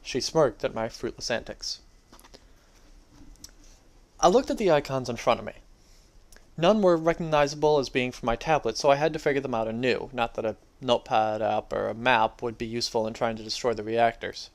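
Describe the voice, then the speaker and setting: A man's voice: mid-range voice, normal speed. A young adult man giving a dramatic reading of his own first-person story.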